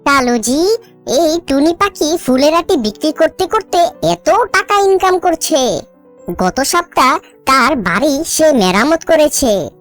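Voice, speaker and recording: A selfish crow wife from a children's cartoon, acted by a woman. Her voice is high, whiny and insistent. Light music runs underneath. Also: expressive, slow pace. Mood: envious.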